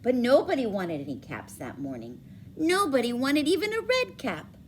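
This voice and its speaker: A woman reading a children's story aloud expressively, with dramatic emphasis and drawn-out words. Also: sits high, big pitch swings, a little hiss or hum. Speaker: storybook reader for schoolchildren.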